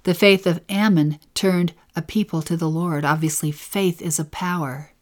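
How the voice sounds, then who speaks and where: Gentle woman teaching about faith. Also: on the low side, steady tone, moderate pace. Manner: earnest, gentle, lingers on key phrases. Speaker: scripture lesson co-teacher. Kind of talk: devotional teaching.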